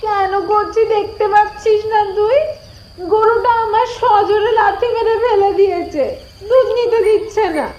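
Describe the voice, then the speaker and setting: A woman's voice: normal speed, a little mellow, highs cut off, a little hiss or hum. A woman voicing a bossy, scheming young village elder sister in a cartoon, complaining and scolding in an exaggerated whiny manner. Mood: angry scolding. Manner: shrill complaining, wailing and scolding.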